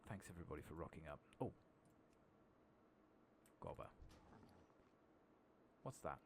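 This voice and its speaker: A man doing soft-spoken, sometimes whispered ASMR game commentary, muttering casually to himself at a close microphone. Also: a bass voice, expressive, a little slow, noisy background. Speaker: host of a gaming ASMR playthrough. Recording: keyboard typing and mouse clicks behind.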